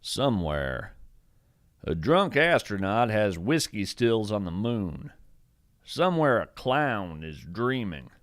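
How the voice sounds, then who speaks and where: A man playing an eccentric, earnest naturalist who hosts a scripted fiction podcast, speaking in a measured, theatrical, thoughtful way. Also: on the low side, a bit bright, no room sound, studio quality, heavily acted. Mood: hushed, reverent. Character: eccentric male naturalist host, musing, earnest, whimsical. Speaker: podcast host and narrator. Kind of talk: scripted fiction podcast narration with poetry readings.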